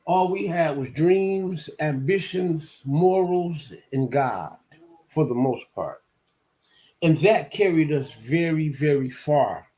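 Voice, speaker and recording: A man in his fifties from Detroit, hosting his own show and telling his life story in a slow, halting monologue full of restarts and repeated words. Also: fairly high pitch, expressive, warm tone, poor audio. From Detroit, USA. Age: 50s. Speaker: show host. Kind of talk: personal monologue.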